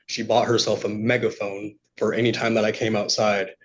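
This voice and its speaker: Hesitant man testifying, low dull-sounding voice. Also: level tone, slight background noise. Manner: hesitant, halting, polite. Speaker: petitioner testifying in court. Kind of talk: unscripted testimony to a judge. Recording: dull, lo-fi courtroom audio.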